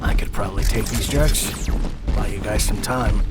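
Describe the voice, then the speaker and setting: A man playing a big, gruff ex-space knight in an audio drama, blunt, sardonic and exasperated. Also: lowish voice, moderate pace, lightly acted. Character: gruff, grumbling, towering male ex-space knight mercenary. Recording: loud music bed under dialogue.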